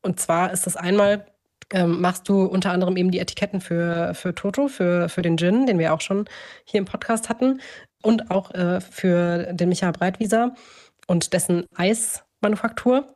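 A woman's voice: on the low side, moderate pace, darkish tone. A woman hosting a conversational podcast interview, talking in wordy sentences and often searching for the next phrase as she thinks aloud. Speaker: podcast host. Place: remote, joining on screen.

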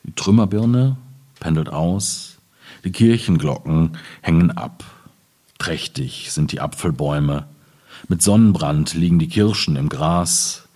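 A man speaking as a poet at a literary reading, in measured, clearly phrased German delivery. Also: very deep voice, warm tone. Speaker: poet reading his own work. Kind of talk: literary reading of poems with informal introduction.

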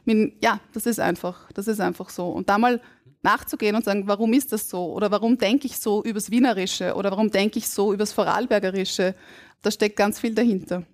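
A woman from Burgenland with an Austrian German accent, a linguist on a live podcast stage, explaining in a measured, academic way. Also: medium pitch, fairly even intonation. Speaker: guest linguist. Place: stage before a live audience.

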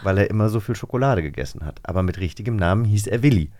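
A man hosting a relaxed podcast quiz game, talking in a chatty, self-mocking way. His voice sits fairly low, and the sound is dry and close. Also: very fast, no echo. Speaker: podcast host. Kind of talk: casual chat, quiz game.

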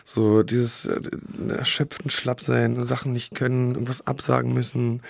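A male podcast co-host speaking through a cold, his voice hoarse and gravelly. He talks in a halting way, pausing and searching for words as he goes. The sound is dry and close, with no room echo. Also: medium pitch, level tone. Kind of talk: casual chat.

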